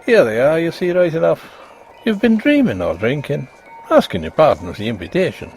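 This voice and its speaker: A man voicing a blunt, rational young doctor in a ghost story, brisk, emphatic and colloquial. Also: a little high, sing-song, vocal fry, a little mellow, soft background music, pitched above the performer's own voice. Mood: brisk scepticism.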